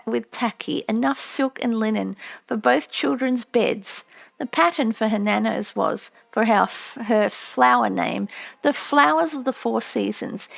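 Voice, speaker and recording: High-pitched, creaky-edged woman narrator. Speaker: audiobook narrator. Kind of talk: scripted narration of a memoir.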